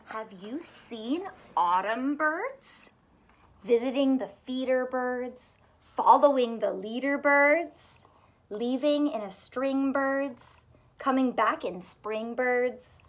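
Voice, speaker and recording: High-voiced woman reading slowly to children. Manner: expressive, sing-song, questioning children. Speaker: teacher reading aloud to children. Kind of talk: picture-book read-aloud. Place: small furnished room indoors.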